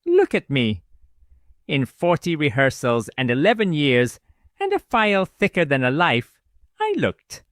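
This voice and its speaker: An actor playing a weary, learned old man in an audio drama, speaking in deliberate, formal, clipped declarations. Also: mid-range voice, a bit bright, dry recording, studio quality. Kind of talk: dramatic audio fiction dialogue.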